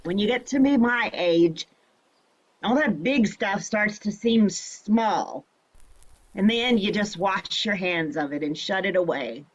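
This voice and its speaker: An actress playing a flippant, teasing retired heroine of sixty-five in a fan-made drama. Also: female voice, medium pitch, animated, a little slow, highs cut off. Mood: wry, weary. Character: brassy, flippant 65-year-old retired heroine, a mother.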